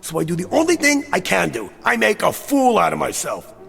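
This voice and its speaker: Gravelly, high-voiced brash gambler. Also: male voice, big pitch swings, brisk, background noise, exaggerated voice. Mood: earnest, reflective. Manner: slangy, wisecracking, cajoling. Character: brash, fast-talking gambler, agitated man out of his time. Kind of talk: radio drama acting and first-person narration.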